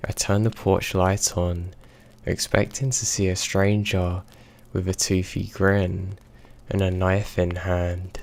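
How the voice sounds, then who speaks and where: A man narrating a horror story in a slow, measured, even reading. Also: low voice, gravelly.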